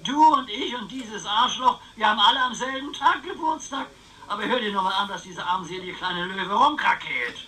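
An actor playing an eccentric, scheming older uncle in a radio crime drama, with a sly, theatrical, musing way of talking. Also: male voice, sits high, expressive, moderate pace, sharp tone, husky, full character voice, poor audio. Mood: agitated, excited. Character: eccentric, scheming old uncle, grandiose and philosophical.

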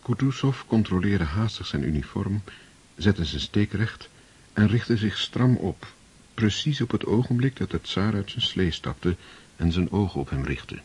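Deep-voiced grave male narrator. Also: expressive, darkish tone, husky. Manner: measured, grave storytelling. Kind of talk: scripted narration in a radio play.

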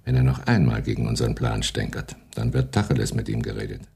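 An actor playing a smug, scheming wartime SS camp official with a husky, rough voice in a German radio play. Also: male voice, a bass voice, fast talker, darkish tone, full character voice. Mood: confidential, scheming.

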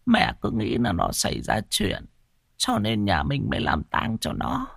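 A man voicing a frail elderly woman risen from her deathbed, confused and fretful, with a thin, breathy, quavering voice. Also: medium pitch, huge pitch swings, slow pace, fairly bright tone, no room sound, clean studio sound, voice pushed up, exaggerated voice. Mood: anxious, tearful.

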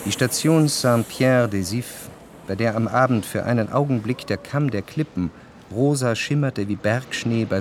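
A man narrating a literary radio drama in the first person, in a measured, reflective manner. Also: on the low side, a little hiss or hum.

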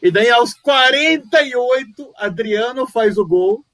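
A man's voice: very high voice, expressive, a little slow, a bit bright, dry recording, poor audio. A man co-hosting a podcast, telling stories with exclamations and slang in casual chat over a remote call. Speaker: podcast co-host. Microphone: remote call recording.

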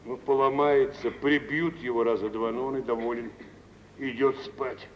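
A man's voice: mid-range voice, steady tone, natural voice. An actor playing a worldly, self-assured rich older businessman, speaking sententiously in mid-century radio theatre style. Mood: dry scorn. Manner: deliberate, sententious. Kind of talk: 1940s-50s radio theatre acting. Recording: old radio recording, muffled, reverberant, hissy.

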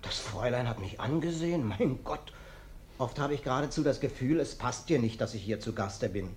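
An actor playing an uncouth, sly vagrant guest who acts humble and self-pitying, in a German radio play. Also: male voice, medium pitch, lively intonation, brisk, a little hiss or hum, close to an everyday voice. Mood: familiar, meek. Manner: plaintive, falsely humble, insistent.

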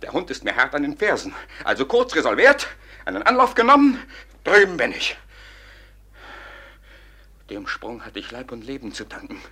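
An actor playing a boastful young schemer who dreams feverishly of grand plans, declaiming excitably in a classical radio play. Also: male voice, mid-range voice, moderate pace, pauses often, breathy edge, exaggerated voice. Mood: gleeful, excited.

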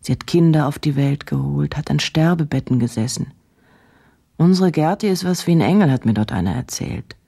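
An actress playing a driven, troubled woman journalist in a radio play, speaking in a halting, introspective, intense way. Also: animated, mellow, heavily acted. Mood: brooding. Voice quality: low, husky. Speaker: radio drama actor. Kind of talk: German radio play, intimate monologue and interview.

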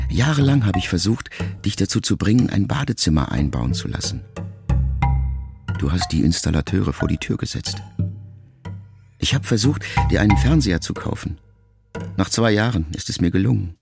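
A middle-aged man reading a literary memoir aloud in a measured, reflective first-person voice. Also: low-pitched, gravelly. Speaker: audiobook narrator. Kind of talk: scripted literary narration.

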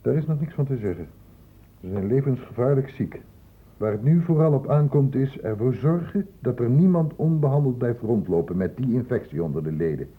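Strict doctor in an old radio play, blunt n urgent, lively pitch, kinda muffled sound. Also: male voice, a little fast, breathy edge. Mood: urgent, insistent. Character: stern, insistent male doctor questioning young people. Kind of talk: Dutch radio play acting.

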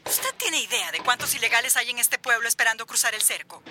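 A woman's voice: a little high, expressive, quick pace, vocal fry, music in the background. A woman acting an indignant, argumentative small-town official in a radio drama. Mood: exasperated. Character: Narcisa, exasperated small-town official hostile to migrant disorder.

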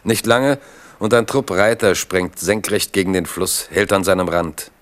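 A man narrating a historical chronicle in a literary, measured and vivid radio drama style. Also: low voice. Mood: narrative. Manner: measured, vivid present-tense telling.

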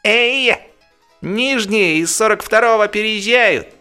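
A man's voice: sits high, slow, sharp tone, smooth, faint music behind, voice pushed up, full character voice. A man voicing cheeky, mocking London errand boys in a comic audiobook, calling out jeeringly. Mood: brash.

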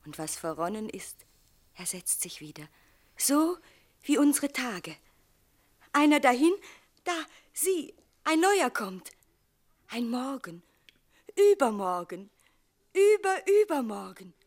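Ethereal, breathy young bride. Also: female voice, fairly high pitch, big pitch swings, takes it slowly, long pauses, heavily acted. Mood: rapturous. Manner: breathy, lyrical, often whispering. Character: young dreamy lost bride, ethereal and rapturous. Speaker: actress. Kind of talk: German radio drama acting.

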